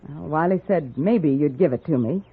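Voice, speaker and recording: An actress playing an anxious young sweetheart in a radio western, earnest and faltering. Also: female voice, low voice, animated, moderate pace, slightly rough, heavily acted. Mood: anxious, pleading. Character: anxious, hesitant young sweetheart of an outlaw soldier. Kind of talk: 1950s radio western acting. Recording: tinny old radio recording.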